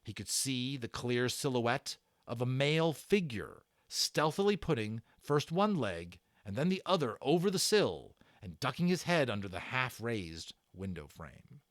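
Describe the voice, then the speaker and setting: A man narrating a children's novel in a theatrical way, stressing words and pausing for drama. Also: medium pitch, no room sound, clean studio sound. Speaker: audiobook narrator and channel host. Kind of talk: dramatic read-aloud storytelling of a children's novel.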